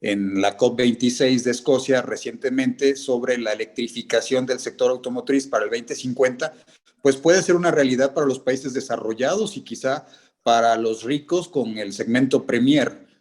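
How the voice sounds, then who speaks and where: A man's voice: medium pitch, darkish tone. Guy chiming in on a panel, mid voice, lots of ehs and hesitations. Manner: hesitant, many filler sounds. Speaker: audience participant. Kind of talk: comment in a panel discussion.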